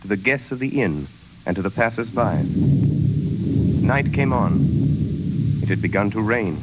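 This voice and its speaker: A man narrating a 1930s radio drama as a grave storyteller, in solemn, measured, dramatic short phrases. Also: fairly low pitch, animated. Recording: dull, noisy 1930s radio recording.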